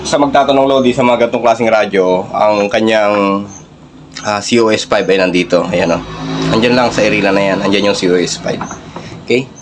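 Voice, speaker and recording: A Filipino man with a fairly low voice walks viewers through a hands-on tech demonstration. His delivery is informal and explanatory, with halting phrases. Faint background noise sits behind his voice. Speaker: vlogger, radio hobbyist.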